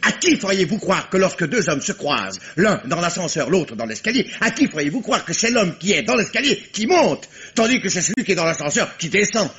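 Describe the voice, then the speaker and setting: High-voiced, indignant lawyer. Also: male voice, very animated, a little fast, few pauses, a bit bright, lo-fi sound. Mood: argumentative. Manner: theatrical, pompous, protesting. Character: indignant, argumentative criminal lawyer under suspicion of murder. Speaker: actor in a radio mystery. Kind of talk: 1950s French radio drama acting.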